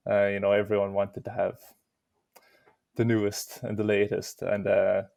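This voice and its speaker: A man's voice: on the low side, monotone, moderate pace, creaky, darkish tone, no room sound. A young Irish man answering interview questions in an easygoing way, with lots of "you know". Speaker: interview guest.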